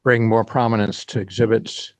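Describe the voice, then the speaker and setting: A man's voice: fairly low pitch, level tone, normal speed, dry recording. A man who belongs to a club, explaining plans informally. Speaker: club member.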